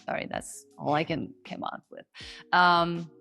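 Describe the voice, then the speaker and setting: A non-native English-speaking woman coach talks in a lively, casual teaching style. Her voice sits a little low for a woman. Soft music plays faintly under her. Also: measured pace, gravelly. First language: not English. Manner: chatty and animated, often acts out example voices. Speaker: confidence coach and host.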